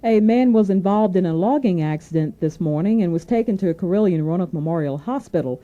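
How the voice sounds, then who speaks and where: A woman anchoring a scripted radio newscast, reading in a formal, measured way. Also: lowish voice, lively intonation, dark tone. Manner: formal, measured newsreading delivery. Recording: narrow tinny AM radio aircheck.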